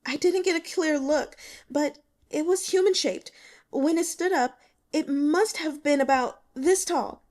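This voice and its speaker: Woman acting a frightened young student, high-pitched. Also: a little slow, darkish tone. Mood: frightened, shaken. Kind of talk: audiobook character acting.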